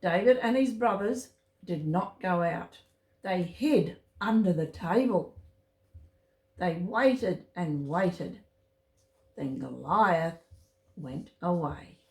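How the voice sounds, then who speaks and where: A woman's fairly low voice reads a children's story in a roomy, echoey space. She goes slowly and deliberately, keeping the wording simple and speaking straight to young listeners. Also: expressive, vocal fry. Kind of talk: read-aloud Bible storytime for children. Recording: roomy, echoey home recording.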